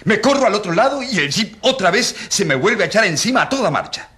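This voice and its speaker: An actor playing an ironic, defiant rural priest, eloquent and emphatic, in a radio drama. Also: male voice, high-pitched, big pitch swings, quick pace, pushed and bright, full character voice. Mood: ironic, accusing.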